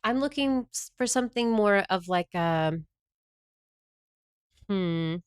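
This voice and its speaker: A woman's voice: medium pitch, expressive, slow, clear, resonant tone, dry recording, clean studio sound. A woman from the Southern United States chatting casually on a livestream, rambling and answering her viewers' chat messages.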